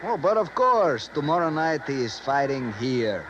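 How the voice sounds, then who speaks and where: An actor playing a self-important, excitable police sergeant with a Mexican accent and theatrical broken English. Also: male voice, fairly high pitch, moderate pace. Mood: grave, boastful. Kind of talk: 1950s radio drama acting. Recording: dull, noisy old radio recording.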